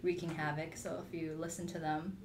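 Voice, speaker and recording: A woman's voice: low-pitched, level tone, a little fast, crowd noise. A young woman with a Canadian accent chats casually as a podcast guest, in an informal, slangy, giggly way. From Philippines and British Columbia, Canada. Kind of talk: casual chat, personal anecdotes.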